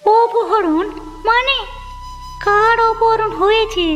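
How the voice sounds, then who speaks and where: A woman's voice: very high-pitched, slow, a bit bright, faint music behind, exaggerated voice. A woman playing an anxious, fretful young village wife in a fairy-tale cartoon. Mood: disbelieving. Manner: fretful, questioning, melodramatic.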